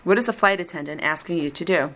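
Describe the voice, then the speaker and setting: A woman reading the script of a recorded listening exam in a slow, deliberate, evenly paced way. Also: on the low side, pushed and bright. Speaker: exam reader.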